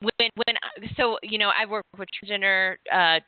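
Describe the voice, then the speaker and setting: A woman's voice: mid-range voice, normal speed, no room sound, phone-quality sound. A woman hosting an interview, a former hypnotist who rambles and searches for her words with many restarts. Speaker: podcast host and former hypnotist. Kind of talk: casual interview chat.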